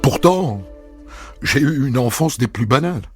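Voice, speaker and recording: A man telling a first-person story as a narrator, in a measured, intimate reading. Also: mid-range voice, expressive. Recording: dry studio voice over soft music.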